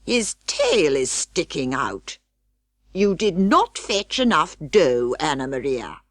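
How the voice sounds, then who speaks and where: Female reader as pompous elderly male rat, storybook. Ponderous, disapproving, very slow delivery. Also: sits low, very animated, dry recording, studio quality, full character voice. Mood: grumbling, doubtful. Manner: pompous, long-winded formal speech. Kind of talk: storybook character reading.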